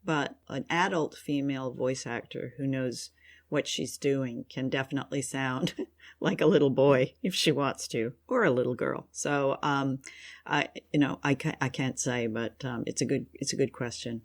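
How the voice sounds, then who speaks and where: A woman's voice: rumbling low, normal speed. A woman from Canada co-hosting a podcast in casual discussion. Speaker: podcast co-host.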